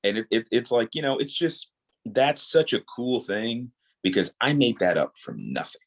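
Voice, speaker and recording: A man speaking as a guest in a casual interview, earnest and reflective, building his thoughts in halting phrases. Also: medium pitch, big pitch swings. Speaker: podcast guest, audio drama creator.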